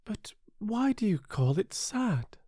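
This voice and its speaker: A woman's voice: mid-range voice, very animated, slow pace, dark tone, slightly rough, dry recording, studio quality, pitched above the performer's own voice, heavily acted. A woman voicing a polite, eager, chatty little girl in an earnest, courteous storybook manner. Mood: curious.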